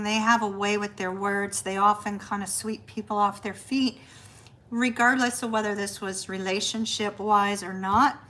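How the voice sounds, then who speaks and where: A middle-aged woman leads a live tarot reading in a chatty, reassuring way. Her voice sits a little high. It is wearing thin and hoarse as she keeps talking. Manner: chatty, warm reassuring delivery, says y'all. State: losing her voice. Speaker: tarot reader.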